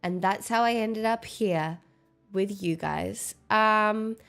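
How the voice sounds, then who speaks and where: A woman's voice: medium pitch, slow, vocal fry, fairly bright tone, smooth, soft background music. Stuffed-up chatty woman streamer. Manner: giggly, chatty, self-mocking. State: stuffed up with a cold. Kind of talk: casual chat with viewers.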